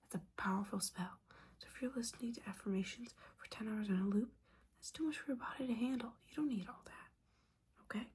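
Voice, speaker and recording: A woman playing a disappointed, judgmental but caring witch mentor in a whispered, softly spoken ASMR roleplay, scolding with sassy, casual asides. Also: a little high, a little fast, slight background noise, lightly acted.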